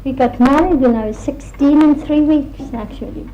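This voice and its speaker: An older Welsh woman telling her life story in a plain, unhurried way on an old recording. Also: high-pitched, dark tone. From Wales. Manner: plain storytelling with small laughs. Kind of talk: recorded personal reminiscence. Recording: dull old archive recording with faint hiss.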